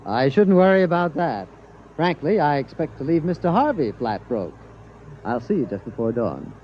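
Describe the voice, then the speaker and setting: Earnest, animated scientist. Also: male voice, on the high side, normal speed. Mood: determined. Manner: formal, precise, hesitant academic phrasing. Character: earnest, naive, stubborn male scientist, a bookish doctor. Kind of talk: 1950s radio acting. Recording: dull, noisy vintage radio recording.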